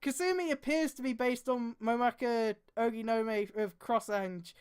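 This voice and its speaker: A woman's voice: fairly high pitch, a little slow, clean tone. A woman streamer reading aloud and adding exasperated, sweary mocking commentary.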